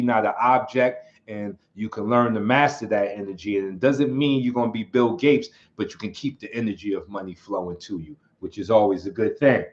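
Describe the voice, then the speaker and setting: A man hosting his own livestream in a relaxed, rambling way. He chuckles often and reads chat comments aloud. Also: mid-range voice. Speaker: channel host. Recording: slightly dull lo-fi sound.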